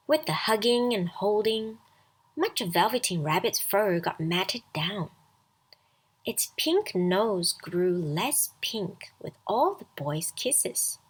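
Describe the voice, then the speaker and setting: A woman reading a scripted children's bedtime story aloud as storyteller, expressive and deliberate. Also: medium pitch, slightly rough.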